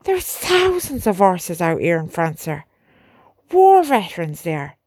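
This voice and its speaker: A woman's voice: on the low side, huge pitch swings, measured pace, a little mellow, no echo, clean studio sound, exaggerated voice. A woman reading aloud, voicing an indignant male army sergeant who pleads for his horses. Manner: pleading, rising to outrage.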